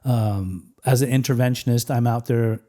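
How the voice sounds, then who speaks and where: A man's voice: mid-range voice, steady tone, normal speed, dark tone. A man from Iran hosting a podcast interview, chatting easily and adding his own thoughts to his guest's points. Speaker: podcast host, mental health interventionist. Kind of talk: casual interview conversation.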